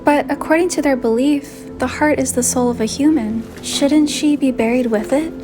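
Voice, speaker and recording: A woman's voice: sits high, moderate pace, music in the background, lightly acted. A woman playing a shy, curious young heroine in a game story, speaking her dialogue and inner thoughts. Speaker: voice of the player heroine, fan narration. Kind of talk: acted visual novel dialogue and inner monologue.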